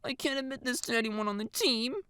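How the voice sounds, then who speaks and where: Woman voicing a high, whiny, panicked teenage girl. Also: fairly quick, heavy background noise, close to an everyday voice. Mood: pleading, sulky. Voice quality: whiny, sobbing, breathy. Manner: pleading, dramatic, petulant. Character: panicked whiny teenage jock boy turned girl, tearful, dramatic.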